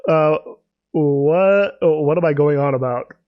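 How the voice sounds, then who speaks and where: Man, higher-pitched, slow pace, playful gaming commentary, theatrical dialogue reading, casual asides. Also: lo-fi sound. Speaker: let's play host. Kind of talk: let's play commentary, reading game dialogue aloud.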